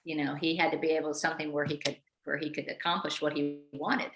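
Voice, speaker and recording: A woman hosting a casual interview, speaking haltingly and repeating words as she thinks. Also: sits low, little pitch movement, roomy sound, poor audio. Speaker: host.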